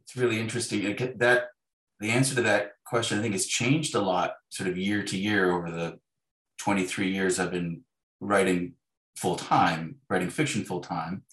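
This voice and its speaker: A man in his fifties speaking as an author being interviewed, in a thoughtful, halting way with repeated words. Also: deep voice, steady tone, a bit bright. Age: 50s. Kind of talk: reflective conversational interview. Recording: echoey, roomy sound.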